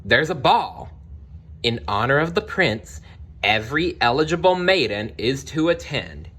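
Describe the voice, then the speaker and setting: A man's voice: mid-range voice, very animated, a little slow, sharp tone, pitched above the performer's own voice, full character voice. A man voicing a cold, commanding, sly stepmother in a read-aloud storybook, giving imperious orders. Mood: announcing.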